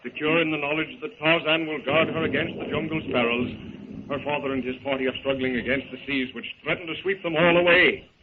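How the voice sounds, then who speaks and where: Brisk male actor as old radio adventure man. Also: a little high, close to an everyday voice. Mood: urgent. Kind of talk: 1930s radio serial acting and narration. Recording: noisy, tinny 1930s radio recording.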